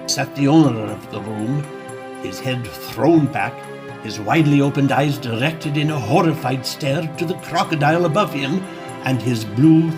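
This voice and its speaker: A man reading scripted, dramatic story narration. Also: mid-range voice.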